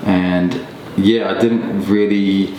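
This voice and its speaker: A man in a relaxed podcast conversation, talking in a thoughtful way with many ums and restarts. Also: slow pace. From Australia. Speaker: podcast guest. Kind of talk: casual reflective conversation. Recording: echoey room with faint rumble.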